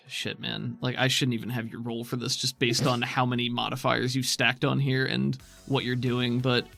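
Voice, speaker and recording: A man's voice: medium pitch, little pitch movement, moderate pace, vocal fry, few pauses, sharp tone, soft background music. A man speaking as game master of a tabletop role-playing game, explaining rules and narrating scenes in a casual, conversational way.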